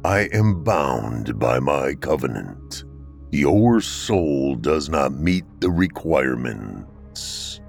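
Death: very deep, echoey, slow and measured, empathetic reaper acted by a male narrator, soft music behind. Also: very animated, gravelly, heavily acted. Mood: solemn.

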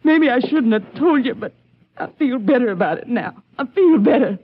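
An actress in a 1950s radio drama playing a distraught, guilt-ridden country wife, halting and rustic in speech. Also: female voice, fairly high pitch, expressive, breathy edge. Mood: weary, guilt-ridden. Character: distraught guilt-ridden country wife confessing against her murderous husband. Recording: dull, hissy, clicky old radio recording.

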